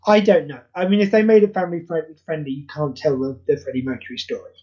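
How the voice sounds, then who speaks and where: A podcast guest chatting at a middle pitch, animated and expressive, often acting out what others said in quick put-on voices. The recording is a touch dull and lo-fi. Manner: animated, quotes others in acted voices, frequent fillers. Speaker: podcast guest, audio drama creator. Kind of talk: casual chat. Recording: slightly dull lo-fi audio.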